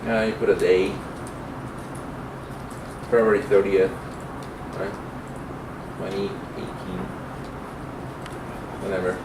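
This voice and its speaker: A middle-aged man gives an informal programming lecture at a slow, halting pace, thinking aloud as he works. He sounds distant from the microphone in an echoey room, with clear noise behind him. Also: low voice, level tone. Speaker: programming instructor. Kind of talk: informal classroom lecture with live coding demo. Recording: roomy, distant mic, clear background noise.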